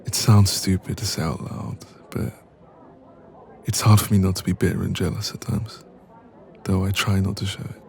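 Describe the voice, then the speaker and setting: Male actor as insecure young man in ASMR roleplay: very deep, mellow, hesitant delivery. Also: steady tone, gravelly. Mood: downcast, vulnerable. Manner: hesitant, soft-spoken, trailing pauses. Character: insecure, anxious young man confiding in a friend. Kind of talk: intimate ASMR audio roleplay.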